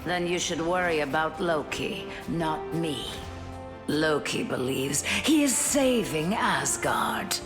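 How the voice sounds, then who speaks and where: An actress voicing a cold, imperious, power-hungry villainess with a haughty, menacing delivery for a video game. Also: female voice, sits low, expressive, takes it slowly. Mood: cold menace. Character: cold imperious villainess who covets a throne. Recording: long reverb, music behind.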